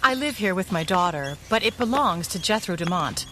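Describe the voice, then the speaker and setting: An actress playing a capable, caring mother and ally in a pulp audio drama, calm, reassuring and matter-of-fact. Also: fairly low pitch, moderate pace, over music, lightly acted.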